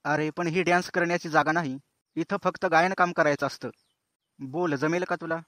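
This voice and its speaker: A man's voice: a little high, steady tone, moderate pace, pushed and bright, no room sound. A man playing the chatty, teasing host of a cartoon singing contest, talking in a folksy, colloquial way. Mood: breezy, hosting. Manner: colloquial, folksy, addressing viewers as friends. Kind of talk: comic cartoon show presenting.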